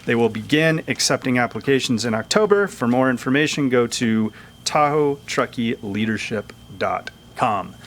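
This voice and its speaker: A man's voice: mid-range voice, expressive, moderate pace, a bit bright. A man hosting a community breakfast meeting, calling up speakers and reading announcements in an informal, upbeat way. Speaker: meeting host.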